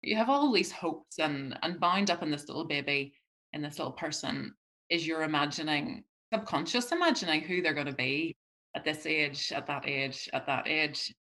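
Low, bright-toned woman. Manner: reflective, halting with many restarts. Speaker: guest. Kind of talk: conversational interview.

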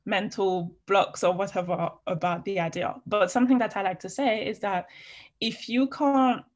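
A woman's voice: mid-range voice, fairly even intonation, normal speed. Chatty woman with a French accent. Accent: French, with some British. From France. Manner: chatty, frank, with frequent fillers and restarts. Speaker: interview guest, fashion writer. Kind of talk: casual interview answers.

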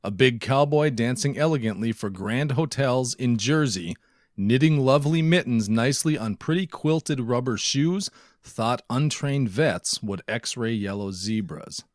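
A man's voice: mid-range voice, expressive, measured pace, dry recording, clean studio sound. A man co-hosting a podcast in an easygoing, conversational style. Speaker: podcast co-host. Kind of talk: casual podcast chat.

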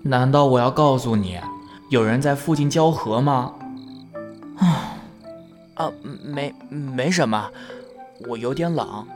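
A man playing a shy, playful, lovestruck young male fox spirit in a period Chinese audio drama, with an expressive, bashful, slightly whiny delivery. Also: mid-range voice, slow pace, pauses often, soft background music, close to an everyday voice. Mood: flustered, yearning. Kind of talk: ancient-China romance audio drama acting.

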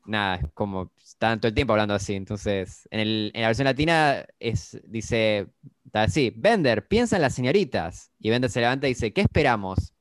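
A man's voice: lowish voice, lively intonation, a little fast, no echo. An Argentine man, chatting casually as a podcast co-host and retelling cartoon scenes in detail. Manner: storytelling, recounting scenes. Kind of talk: casual chat.

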